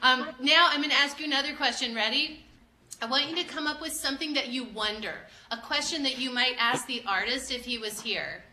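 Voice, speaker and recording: A woman's voice: sits high, a little fast, pushed and bright. A woman working as an educator, leading an interactive art discussion with children and encouraging them with questions. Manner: encouraging, prompting with questions, repeats answers back. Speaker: museum educator teaching children. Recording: roomy echo, light background noise.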